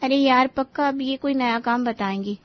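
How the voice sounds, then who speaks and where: An exasperated young daughter-in-law in a family cartoon, played by a woman. She grumbles and pleads in a high, whiny voice. The sound is dry and a bit dull. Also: normal speed, no echo, lightly acted. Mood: exasperated, weary. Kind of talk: Hindi cartoon story voice acting.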